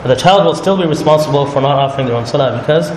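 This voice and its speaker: A man leading a religious study circle, explaining calmly and often checking in with "right?". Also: a little high, racing. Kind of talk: religious talk to a group. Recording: echoey hall with noise.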